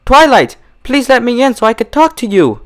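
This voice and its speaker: A man's voice: sing-song, normal speed, fairly bright tone, exaggerated voice. A man voicing a refined, dramatic lady pony in a storybook reading, with a genteel, theatrical manner. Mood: indignant alarm. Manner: genteel, theatrical, high-pitched.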